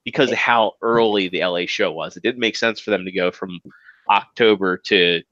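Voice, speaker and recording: A man's voice: sits low, normal speed, fairly bright tone, dry recording, highs cut off. A man with a Maryland accent co-hosting a podcast, rambling casually with lots of fillers and restarts. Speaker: podcast co-host. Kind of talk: casual chat.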